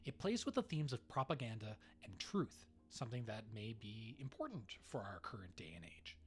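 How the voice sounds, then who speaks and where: A man's voice: on the low side, very animated, moderate pace, soft background music. A man hosting and announcing a special in a scripted presenting style. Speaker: host and announcer of an anniversary special.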